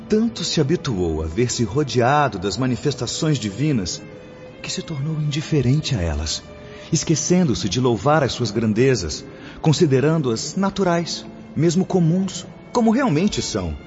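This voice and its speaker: A wise spiritual master played by a man teaches in a solemn, declamatory Brazilian Portuguese accent. His mid-pitched voice moves in measured radio-drama phrases. The sound is dull, with clear music behind him. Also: big pitch swings, darkish tone. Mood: solemn, reverent. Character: wise, solemn male spiritual instructor guiding apprentices. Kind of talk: radio drama acting, didactic oration. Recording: dull lo-fi audio under music.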